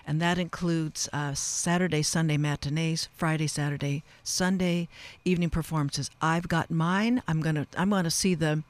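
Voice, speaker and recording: Male radio host, slightly high voice; casual, wandering interview style with self-corrections, close studio microphone. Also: fairly even intonation, moderate pace, dry recording. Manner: chatty, rambling, self-correcting. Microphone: close studio broadcast mic.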